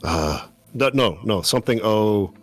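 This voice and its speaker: A man speaking as a guest in a casual live-stream chat, thoughtful and easygoing, pausing to search for his words. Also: on the low side, animated, soft background music. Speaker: podcast guest.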